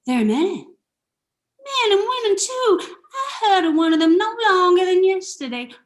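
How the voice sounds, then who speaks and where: A woman's voice: very high-pitched, sing-song, normal speed. A woman playing a chatty, foolishly earnest country peasant man in a comic turn of a Shakespearean radio play, rambling and droll.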